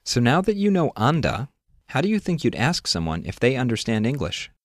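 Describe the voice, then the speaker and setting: A man's voice: medium pitch, wildly swooping pitch, fairly quick, no room sound, studio quality. A man hosting a scripted language lesson, explaining step by step and prompting the listener with questions. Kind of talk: scripted instructional narration.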